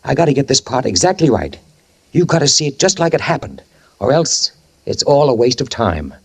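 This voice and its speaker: An actor playing a guilt-ridden man who fled a fatal crash, narrating in the first person in a 1940s radio drama with tense, halting speech that stammers and repeats. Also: medium pitch, big pitch swings, breathy edge. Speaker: narrator and lead character. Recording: old radio recording with music behind.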